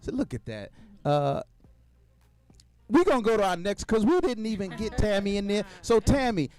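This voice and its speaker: A man of fifty-one hosting a lively panel chat show, teasing his guests playfully and cheering them on. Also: high voice, normal speed, smooth. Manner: playful, teasing, encouraging with frequent affirmations. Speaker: host.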